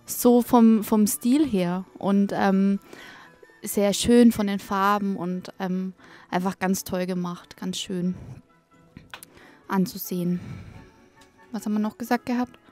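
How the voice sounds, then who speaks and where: Casting: female co-reviewer, soft mellow tone, casual and hesitant, music playing underneath. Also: medium pitch, steady tone, slow pace, long pauses. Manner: hesitant, searching for words. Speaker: co-reviewer, guest on a game review. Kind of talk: casual unscripted commentary. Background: music under a voice-over.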